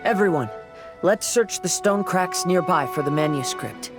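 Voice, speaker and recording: A man voicing a calm, courteous young wanderer in a video game dub, with polite, gentle phrasing. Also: high voice, normal speed, music in the background.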